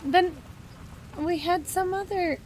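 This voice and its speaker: A woman's voice: extremely high pitch, steady tone, slow, background noise. A woman playing a tabletop roleplaying game, chatting casually and playfully.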